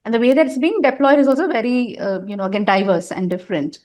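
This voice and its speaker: A woman's voice: on the high side, fast talker, darkish tone. A middle-aged woman, a guest in a candid interview, talking at length with emphasis and frequent fillers. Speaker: interview guest, nonprofit governance advisor.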